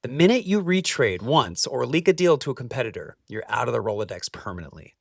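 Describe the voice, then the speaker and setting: A man hosting a scripted explainer, speaking straight to the listener in punchy, emphatic short phrases with deliberate pauses. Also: medium pitch, vocal fry, no echo, studio quality, distant mic. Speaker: host, capital-raising adviser.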